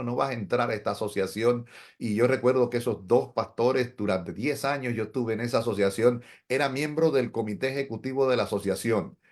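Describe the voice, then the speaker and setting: A middle-aged man, a pastor, telling his story in an interview in an earnest, reflective way. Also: mid-range voice. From Dominican Republic. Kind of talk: interview testimony.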